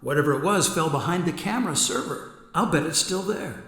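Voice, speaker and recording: A man acting as a playful, teasing male ghost in a contemporary radio drama, speaking deliberately. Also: a little high, animated, fairly bright tone. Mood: wry, friendly. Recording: echoey hall-like reverb.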